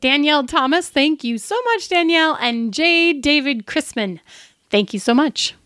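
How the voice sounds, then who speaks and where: Playful high-pitched woman podcasting. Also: expressive, moderate pace, a bit bright, no echo, studio quality. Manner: playful, informal. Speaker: podcast co-host. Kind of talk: casual podcast banter.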